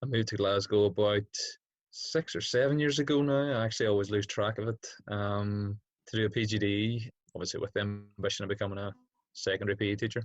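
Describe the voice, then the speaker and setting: A man's voice: on the low side, flat delivery, fast, no room sound, dull audio. A young man with a Northern Irish accent giving casual, reflective interview answers as a teacher, feeling his way hesitantly through each thought. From Derry, Northern Ireland. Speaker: secondary school PE teacher, interview guest.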